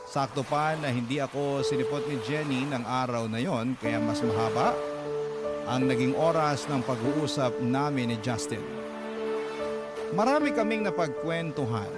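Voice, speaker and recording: A man's voice: mid-range voice, a little slow, close to an everyday voice. A man narrating a listener's letter in a radio drama, earnest and confiding as he addresses the host. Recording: loud music bed under voice.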